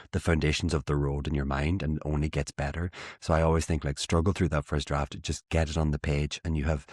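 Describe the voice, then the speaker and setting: An Irish man, a theatre maker, chatting as a guest in an interview, animated and self-mocking with frequent restarts. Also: extremely low pitch, no echo, studio quality. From Ireland. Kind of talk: casual interview chat.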